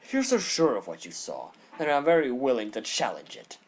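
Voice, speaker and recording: Man as a haughty, very expressive prosecutor. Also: mid-range voice. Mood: challenging. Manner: formal, haughty, deliberate. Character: stern, condescending male animal prosecutor. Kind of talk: audio drama acting.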